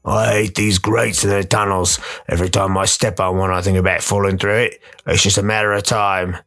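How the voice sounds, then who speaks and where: A man voicing a tall, muscular, battle-scarred ex-pit fighter, gruff but loyal, with rough slangy speech, in a radio play. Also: sits low, flat delivery, a little fast, fairly bright tone, pitched below the performer's own voice. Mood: gruff, grumbling. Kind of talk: radio play character acting.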